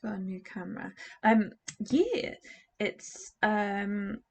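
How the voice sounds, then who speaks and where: A woman's voice: mid-range voice, takes it slowly, vocal fry, breathy edge. A young English woman with an English accent, chatting casually and rambling with fillers while she works on a craft project at her desk. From England. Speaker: doll customizing vlogger.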